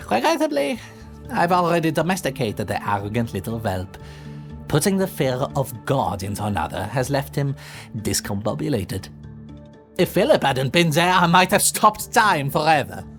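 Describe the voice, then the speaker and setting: A man's voice: medium pitch, big pitch swings, moderate pace, fairly bright tone, soft background music. A man voicing a sardonic, theatrical immortal. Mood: scornful. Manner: dramatic, florid and sardonic. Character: theatrical, weary immortal man, scornful of his brother. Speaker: lead character in an audio drama. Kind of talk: ASMR audio roleplay acting.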